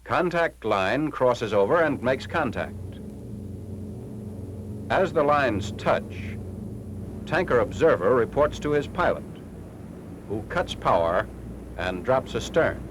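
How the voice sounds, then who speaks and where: A man's voice: medium pitch, lively intonation, takes it slowly, pauses often. An American man narrating a 1940s military training film in a formal, clipped, instructional manner. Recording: noisy 1940s film soundtrack, engine-like hum.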